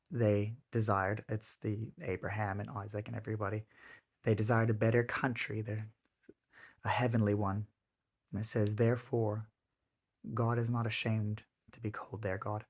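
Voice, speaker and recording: A man in his thirties giving his father a heartfelt birthday tribute, earnest and halting with many pauses and restarts. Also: lowish voice. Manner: earnest, reflective. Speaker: son.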